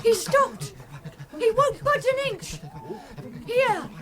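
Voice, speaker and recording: An actor playing a shrill, excited peasant vampire hunter in a radio play crowd scene. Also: slow. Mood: excited, triumphant. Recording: shouting amid loud crowd and animal noise.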